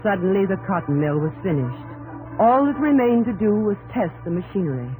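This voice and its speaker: An actress playing a gentle young New England woman who tells her story earnestly and with measured delivery in a 1950s radio drama. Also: mid-range voice, sing-song, music in the background, lightly acted, poor audio. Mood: earnest, wistful. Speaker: narrator and actress.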